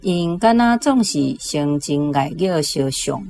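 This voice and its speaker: Low, dark-voiced Taiwanese woman over noise. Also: animated, slow pace. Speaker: Taiwanese language teacher giving a model translation. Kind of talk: slow recorded example sentence. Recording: noisy, distant-sounding insert clip.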